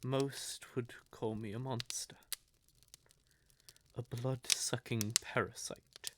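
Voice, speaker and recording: A man playing a courtly, possessive vampire lover in an audio roleplay, speaking theatrically and deliberately with syrupy endearments and breathy, quietly menacing undertones. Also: mid-range voice, steady tone, very noisy, natural voice. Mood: earnest, pleading. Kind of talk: scripted audio roleplay addressed to the listener.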